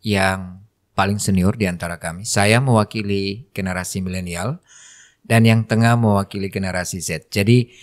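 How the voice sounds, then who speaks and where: A man hosting a studio talk show, asking probing questions and rephrasing them with fillers. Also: lowish voice. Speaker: host.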